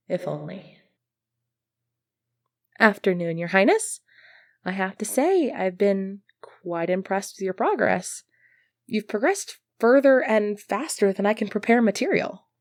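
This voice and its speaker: A woman's voice: medium pitch, expressive, slow pace, long pauses, no echo, studio quality. A woman playing an earnest, easily flustered medieval knight devoted to her prince, speaking politely and formally in an ASMR roleplay. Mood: wistful, fond, then encouraging. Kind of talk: ASMR roleplay acting, formal old-fashioned speech.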